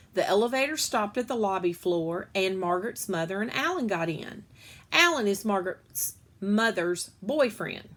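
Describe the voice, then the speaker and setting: Woman reading a children's book aloud. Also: medium pitch. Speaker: read-aloud storyteller.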